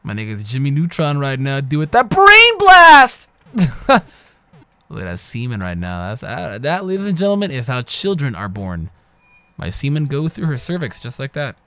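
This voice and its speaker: A young man giving casual, profane let's-play commentary, trash-talking the characters and breaking into sudden loud yells. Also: medium pitch, wildly swooping pitch, moderate pace, clean tone, no room sound. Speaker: gaming channel host. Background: game music.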